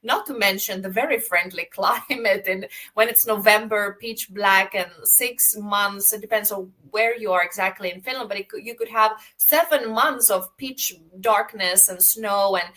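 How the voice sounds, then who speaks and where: A woman's voice: medium pitch, moderate pace, hardly any pauses, sharp tone. A Greek-born woman hosting a video podcast on a call, talking passionately in long run-on stretches. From Greece. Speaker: podcast host. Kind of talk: casual interview chat.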